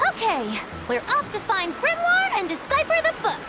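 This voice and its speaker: Perky small companion, fantasy game: tiny high voice, wildly bouncy pitch. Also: female voice, wildly swooping pitch, moderate pace, loud music behind the voice, exaggerated voice, poor audio. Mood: chirpy. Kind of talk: English-dubbed fantasy game acting.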